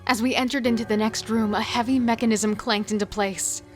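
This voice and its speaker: A high, tense female voice from an actress as a wary killer in a horror audio drama. She is devoted but seething, delivering first-person narration and dialogue with strong emotion, over clearly audible music. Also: fairly even intonation, a little fast, close to an everyday voice. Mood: grim, tense.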